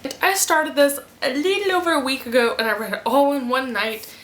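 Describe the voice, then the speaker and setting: A woman's voice: high voice, a little fast, a little hiss or hum. Chatty, rambling young woman vlogger. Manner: chatty, rambling, animated asides. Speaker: book reviewer. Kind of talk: casual vlog review. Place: at home in front of a fan. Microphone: camera mic.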